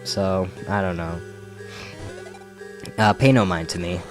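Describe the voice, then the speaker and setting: A man's voice: sits low, takes it slowly. A young man with a slight head cold giving casual, rambling let's play commentary, often correcting himself. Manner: rambling, self-correcting, wry. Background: faint game music.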